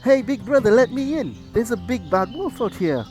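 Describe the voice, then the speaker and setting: A man reading a bedtime story and voicing the little piglets, small young pigs, in a high squeaky voice. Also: expressive, normal speed, a little mellow, faint music behind. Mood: anxious.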